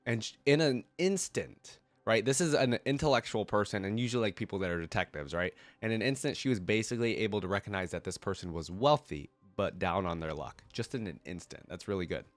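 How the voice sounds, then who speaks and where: A man congested with a cold, reading stories aloud and reacting to them casually, thinking out loud to his viewers. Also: fairly low pitch, fairly quick, few pauses, no echo. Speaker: reactor reading game lore aloud and commenting. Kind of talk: casual reaction commentary mixed with story reading.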